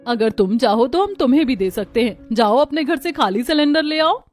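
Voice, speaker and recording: A woman voicing earnest, enterprising village women in scripted cartoon dialogue, with an expressive, homely manner. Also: high voice, moderate pace, faint music behind. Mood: friendly, earnest.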